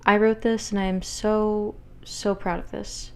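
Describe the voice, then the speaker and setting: A woman's voice: medium pitch, little pitch movement, unhurried, darkish tone, smooth. A young woman speaks as a writer presenting her own work. Her delivery is conversational and personal, and her voice sits in a medium female register. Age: young adult. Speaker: writer of an audio drama.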